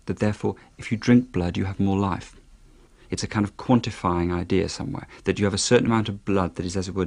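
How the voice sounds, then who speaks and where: A man's voice: deep voice, darkish tone. A man giving reflective commentary in an interview, thoughtful and musing.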